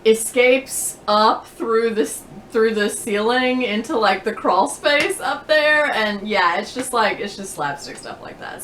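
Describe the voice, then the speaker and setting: A woman's voice: mid-range voice, fairly bright tone. A chatty woman who laughs easily, talking casually to camera about books in a bedroom. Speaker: book vlogger. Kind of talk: casual chat to camera answering tag questions. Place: bedroom indoors. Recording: roomy echo, faint background noise.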